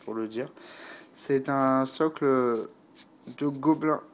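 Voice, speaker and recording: A man's voice: medium pitch, slow pace, slight background noise. A man with a stuffy, blocked nose from a cold, unhurriedly talking through a painting tutorial and thinking aloud with many fillers. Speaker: miniature painting hobbyist.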